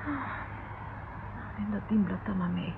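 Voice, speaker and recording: A woman's voice: medium pitch, takes it slowly, vocal fry. An actress playing a polite but questioning young woman held captive, in an old radio drama. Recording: muffled, noisy old radio recording.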